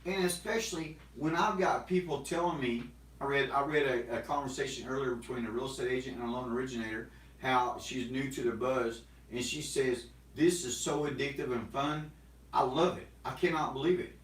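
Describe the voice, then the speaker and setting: A man in his forties hosting a casual, energetic sales talk to camera, rambling with restarts. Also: mid-range voice, a little fast, echoey room, far from the mic, rough recording. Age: 40s. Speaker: host. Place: small studio room with banners and props.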